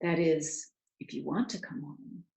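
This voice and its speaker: A woman's voice: low voice, fairly even intonation, measured pace, creaky, a little mellow. A woman voicing a kindly, eager royal manservant in a storybook, urgent and earnest. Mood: excited, exclaiming. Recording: roomy, echoing home recording.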